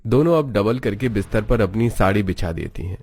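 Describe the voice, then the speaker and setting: A man reading scripted narration for an animated family story. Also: fairly low pitch, brisk, soft background music.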